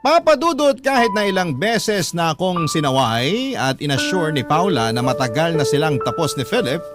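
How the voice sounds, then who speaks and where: An actor playing an insecure, suspicious young Filipino lover, narrating a radio drama story in a confiding, brooding, emphatic way. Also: male voice, a little high, big pitch swings, normal speed. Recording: loud music bed under narration. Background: loud instrumental music.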